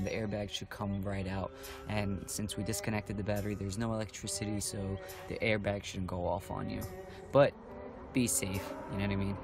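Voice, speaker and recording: Young man with a hoarse voice. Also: fairly low pitch. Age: young adult. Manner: chatty and informal, with frequent ums. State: hoarse, slightly raspy voice. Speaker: car vlogger. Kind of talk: casual how-to vlog. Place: outdoors on a porch, then inside a parked car.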